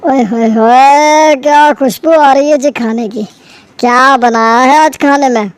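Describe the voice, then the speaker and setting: A woman's voice: high-pitched, measured pace, smooth, dry recording. A woman voicing a jovial middle-aged father who loves rich food and talks heartily. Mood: delighted.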